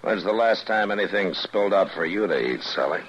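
An actor playing a stern, watchful U.S. marshal in a radio western, terse and authoritative. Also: male voice, low-pitched, lively intonation, fairly quick, a bit bright. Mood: dry, probing. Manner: terse, authoritative, dry questioning. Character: stern, watchful U.S. frontier marshal, lonely lawman. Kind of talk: 1950s radio western acting. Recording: noisy muffled old radio recording.

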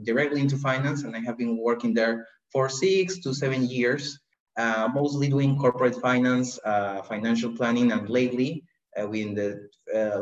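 A man in his thirties from Peru, a podcast guest talking casually about himself with thoughtful pauses and frequent fillers. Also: medium pitch. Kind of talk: casual conversation, introducing himself. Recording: roomy, dull lo-fi remote recording.

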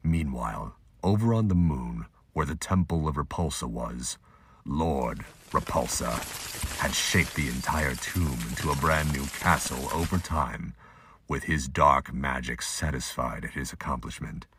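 A man's voice: very deep voice, measured pace, fairly bright tone. A man giving scripted audiobook-style narration of a fantasy audio drama. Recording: noisy amateur home recording, clicks.

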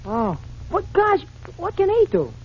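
An actor playing a naive, eager little sidekick in a 1940s radio drama, with a fretful, gee-whiz manner. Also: male voice, very high-pitched, wildly swooping pitch, unhurried, exaggerated voice. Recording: muffled, noisy 1940s radio recording.

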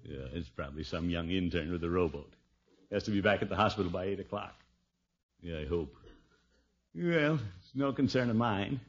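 An actor playing a pompous, flustered, lovelorn middle-aged uncle in a 1950s radio comedy, blustering and stammering. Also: male voice, low voice, animated, moderate pace, husky, faint music behind, heavily acted. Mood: dejected, brooding. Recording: dull 1950s radio broadcast recording.

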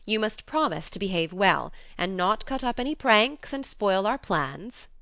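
A woman's voice: medium pitch, lively intonation, unhurried, a bit bright, dry recording. A woman voicing a blunt, hearty, tomboyish young woman who admonishes earnestly, as character dialogue in an audiobook.

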